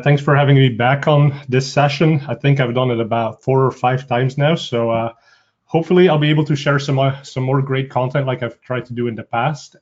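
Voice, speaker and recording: Belgian-accented man presenting a webinar. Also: medium pitch. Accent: Belgian Dutch. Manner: conversational, frequent uh and um fillers. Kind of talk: casual presentation. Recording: dull lo-fi webinar audio.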